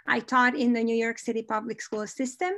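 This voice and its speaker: An upbeat woman with a Hungarian accent, presenting research over a video call. Her voice sits fairly high and she talks with eager energy. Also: flat delivery, a little fast, a bit bright. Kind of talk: conference presentation.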